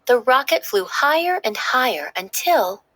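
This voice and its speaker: A woman narrating a children's story in an expressive, dramatic read-aloud style. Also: sits high, unhurried.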